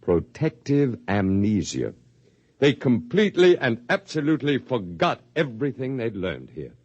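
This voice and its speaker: An actor playing a courteous, coolly superior alien overseer in a 1950s radio drama, speaking formally and precisely. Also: male voice, medium pitch, big pitch swings, darkish tone, slightly rough, dry recording. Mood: grave, patient. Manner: formal, measured, precise and polite. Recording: muffled 1950s radio broadcast, faint music.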